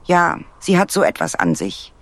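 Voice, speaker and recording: A man's voice: fairly high pitch, huge pitch swings, moderate pace, a bit bright, full character voice. A man playing an uneasy, suspicious space traveller in an audio drama, wary and questioning. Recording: hissy, noisy recording.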